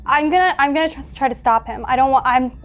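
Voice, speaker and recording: A woman playing a tabletop role-playing game, talking casually and somewhat haltingly. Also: high-pitched, a bit bright.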